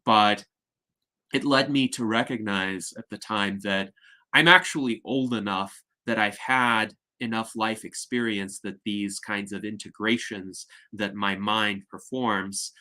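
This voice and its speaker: Male host, lowish voice with a crisp bright edge, measured and articulate, video call. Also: fairly even intonation.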